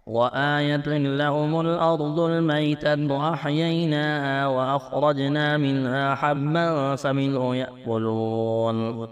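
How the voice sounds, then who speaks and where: A man reciting the Quran melodically in a measured chant, drawing out the ends of phrases. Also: medium pitch.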